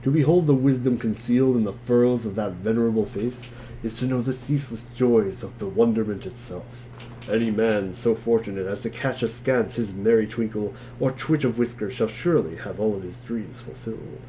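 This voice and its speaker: A man's voice: lowish voice, normal speed, dark tone, poor audio. A man giving a theatrical reading of narration, his throat a bit strained. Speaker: reader in a group dramatic reading. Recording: faint background noise, room ambience.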